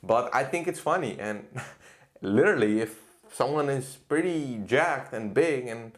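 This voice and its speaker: A man's voice: medium pitch, lively intonation, unhurried. Blunt, profane male fitness coach. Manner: blunt, profane, ranting with laughs. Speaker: fitness coach reacting to a clip. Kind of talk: casual reaction commentary.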